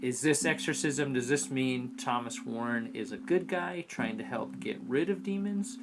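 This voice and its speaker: A man with an average-pitched voice chats as a fan podcast host. He is inquisitive, summing up and then posing questions. The recording has plainly audible noise and a hum. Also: moderate pace. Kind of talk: casual discussion of an audio drama.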